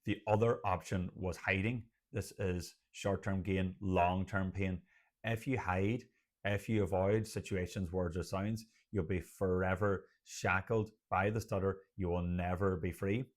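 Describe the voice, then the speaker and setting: A man's voice: low-pitched, steady tone, unhurried. An Irish man, a doctor who stutters, speaking earnestly to camera about his experience in a personal vlog. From Ireland. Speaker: doctor and stuttering coach, channel host.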